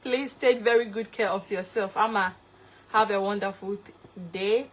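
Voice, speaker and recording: A woman's voice: medium pitch, steady tone, measured pace. A young woman from Ghana with a Ghanaian accent, hosting a live cooking stream and greeting viewers by name with repeated thanks. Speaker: cooking livestreamer.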